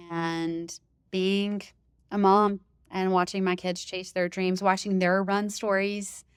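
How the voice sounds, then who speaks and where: A woman who hosts a running podcast, talking as an interview guest in a loud, emphatic, enthusiastic way and laughing easily. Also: medium pitch, clean tone, dry recording, clean studio sound. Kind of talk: casual interview chat.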